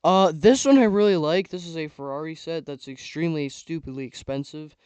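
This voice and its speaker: Young male vlogger, rambly casual commentary, clear voice with a slight hoarseness. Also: a little high, a little fast, a little mellow, no room sound. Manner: rambling, filler-heavy, trailing off. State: losing his voice. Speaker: YouTuber ranking toy sets. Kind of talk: casual tier-list commentary.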